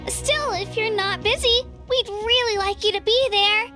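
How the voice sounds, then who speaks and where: Shy sweet little kid girl, anime game, really high voice, polite, music behind. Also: unhurried, sharp tone, full character voice. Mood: earnest, shy. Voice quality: childlike. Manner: hesitant, earnest. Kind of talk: anime-style video game voice acting.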